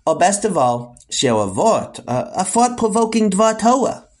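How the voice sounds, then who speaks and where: A man's voice: high voice, very animated, a little slow, exaggerated voice. A man playing an earnest, good-natured hero in a children's audio comedy, with a mock-heroic manner. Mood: encouraging.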